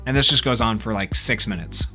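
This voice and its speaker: A man's voice: lowish voice, fairly quick. A man giving casual gaming news commentary as a YouTuber, talking in an informal, speculative, rambling way. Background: background music.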